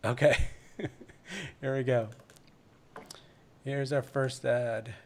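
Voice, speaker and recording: A man's voice: mid-range voice, steady tone, extremely slow. A man hosting a live podcast, chatting informally, plugging sponsors and interviewing guests in a casual, promotional way.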